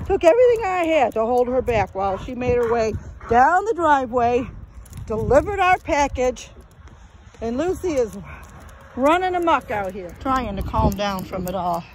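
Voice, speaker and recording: A woman's voice: a little high, big pitch swings, normal speed. An older woman chatting casually and rambling along as she films on a phone outdoors while walking a dog. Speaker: vlogger. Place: outdoors on a rural driveway on a windy day. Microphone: handheld phone. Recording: loud wind and outdoor noise.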